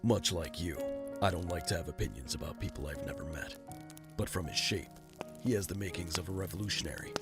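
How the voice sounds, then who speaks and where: A man playing a wry, guarded rich gentleman in an audio drama, speaking in a dry, understated way. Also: low-pitched, brisk, loud music behind the voice. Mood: measured, serious.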